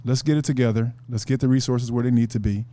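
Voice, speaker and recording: A man's voice: medium pitch, fairly even intonation, fast, dark tone. A man, an author guest on a podcast, talking earnestly and casually, often repeating words as he thinks.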